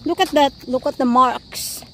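A woman vlogging a walking tour, excited and exclaiming often, filmed on a handheld camera in rain and wind. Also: sits high, normal speed. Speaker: travel vlogger. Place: outdoors and in a sports complex, rain and wind. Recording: noisy outdoor phone video.

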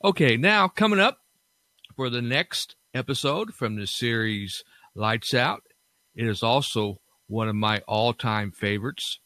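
A man hosting a radio-style podcast informally, with unhurried, halting phrasing. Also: mid-range voice, sharp tone, no echo, poor audio. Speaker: podcast host.

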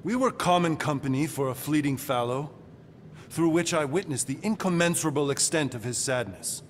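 A man's voice: a little high, normal speed, close to an everyday voice. A man playing a grandiloquent cosmic being. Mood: solemn. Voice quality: flowery, archaic, alliterative speech. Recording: long reverb with faint noise.

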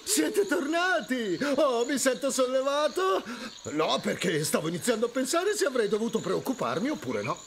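A man's voice: very high-pitched, sing-song, normal speed, gravelly, hardly any pauses, a little hiss or hum, exaggerated voice. A man voicing a big, friendly, anxious but jolly cartoon alligator in a video game, theatrical and full of exclamations. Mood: relieved, fretful. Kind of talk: video game character acting.

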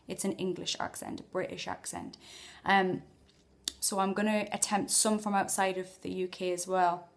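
A woman's voice: lowish voice, little pitch movement, normal speed, vocal fry. A woman in her thirties with a Geordie accent, chatting casually and self-mockingly to a phone held at arm's length in a small room. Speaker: vlogger. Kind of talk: casual chat to camera.

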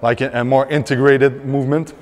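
A man's voice: medium pitch, flat delivery, a little fast. Man coaching a workout in a gym. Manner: explains step by step with frequent fillers and restarts. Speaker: fitness coach. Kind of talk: instructional workout explanation. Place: large indoor gym.

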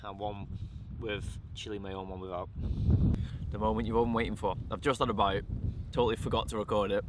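A man's voice: lowish voice, level tone, brisk. A man in his twenties chatting casually to camera as a vlogger, informal, with short exclamations and some swearing. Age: 20s. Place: outdoors beside a van on windy grassland. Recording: very loud wind noise on microphone.

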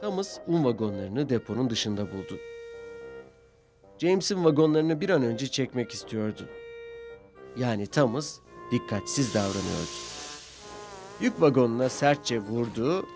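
A man's voice: mid-range voice, darkish tone. A man narrating a scripted story for children as its storyteller. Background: music.

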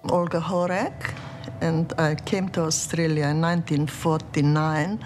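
An older woman from Czechoslovakia recalling her past in a documentary interview, speaking in a measured, reflective way. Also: sits low, little pitch movement, a little mellow, slight background noise. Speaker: interviewee, refugee. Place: dark studio set, indoors.

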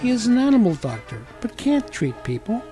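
A man's voice: extremely high pitch, big pitch swings, fairly quick, mellow, faint music behind, voice pushed up. A man voicing an indignant young puppet jester in a storybook tale, protesting insistently. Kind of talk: storybook character dialogue.

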